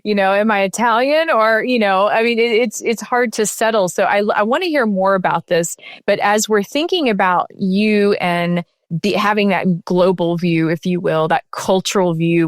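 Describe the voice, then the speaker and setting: A woman's voice: medium pitch, no room sound, clean studio sound. A woman hosting an interview, conversational and affirming, rephrasing her questions as she goes. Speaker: podcast host.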